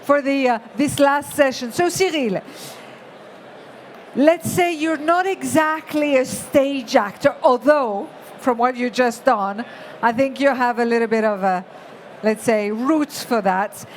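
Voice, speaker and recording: Lively high-voiced woman hosting. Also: a little slow, slight background noise. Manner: lively, audience-rousing. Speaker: stage host. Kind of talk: event hosting. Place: large indoor expo hall stage.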